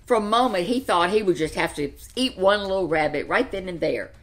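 An older woman in her seventies reading a children's picture book aloud in an expressive storytelling style. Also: lowish voice, a little fast. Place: furnished living room, seated in an armchair.

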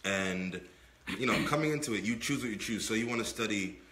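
A man's voice: lowish voice, brisk, pushed and bright, slight background noise. A man in his twenties co-hosting a casual podcast, emphatic and repeating himself, his voice a bit under the weather. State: under the weather, voice not fully clear. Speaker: podcast co-host. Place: indoor room with brick and wood-panel wall. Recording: echoey room.

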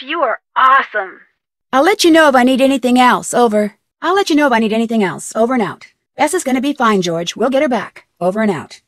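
A young American woman playing a plucky, determined detective in an adventure game, speaking earnestly and clearly. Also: fairly high pitch, fairly bright tone, no room sound, studio quality. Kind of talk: scripted game dialogue.

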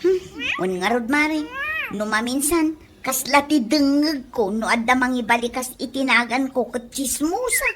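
A high, lively voice of an actress plays a blunt, gossipy neighbour woman in an Ilocano radio comedy. She sounds insistent, with an Ilocano accent, on a noisy recording. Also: moderate pace. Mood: teasing.

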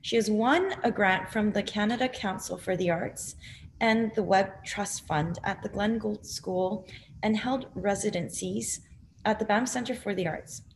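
A woman's voice: medium pitch, a little slow, a little hiss or hum, low-quality recording. A young woman hosting a video interview, reading a welcoming introduction and then asking questions. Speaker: host. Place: home room indoors. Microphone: video call.

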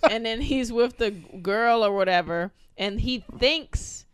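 A woman's voice: a little high, measured pace, clean tone. A woman chatting casually and bluntly with a group around podcast microphones. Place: small room at home, around a table.

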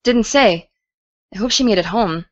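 A woman's voice: mid-range voice, moderate pace, no room sound, lo-fi sound, lightly acted. Anxious, caring teenage girl played by a woman, dry sound. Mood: worried. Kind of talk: naturalistic audio drama dialogue.

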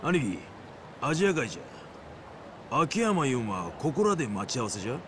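An actor playing a middle-aged yakuza captain in a video game, gruff and swaggering, with a rough Hiroshima accent and a scolding, loyal temper. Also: male voice, mid-range voice, big pitch swings, moderate pace, noisy background, lightly acted. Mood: fired up. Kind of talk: Japanese video game voice acting.

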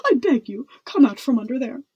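A woman's voice: on the low side, a little slow, creaky, breathy edge, lo-fi sound, voice pushed down, full character voice. A woman voicing a deferential, easily flustered head footman in a storybook, fussy and obsequious. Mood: pleading. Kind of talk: storybook character voice.

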